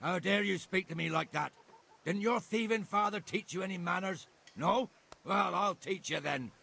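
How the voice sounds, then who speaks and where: Gravelly, belligerent village lout. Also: male voice, high voice, normal speed, pushed and bright, heavily acted. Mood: furious, threatening. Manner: aggressive, insulting. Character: belligerent, foul-mouthed village drunkard, adult man. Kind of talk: video game voice acting.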